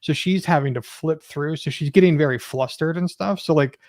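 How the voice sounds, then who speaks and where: A man's voice: fairly high pitch, moderate pace, a little mellow, no echo. Informal man, dry close-sounding voice. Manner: informal explaining, frequent "like" as he talks. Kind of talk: casual panel discussion.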